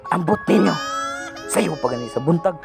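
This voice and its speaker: Amateur radio play: man as gruff, irritable boozing husband, creaky voice, dismissive retorts over music. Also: fairly high pitch, animated, moderate pace. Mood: irritated, shouting. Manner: gruff, snapping back. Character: surly drunkard husband and father, gruff, dismissive.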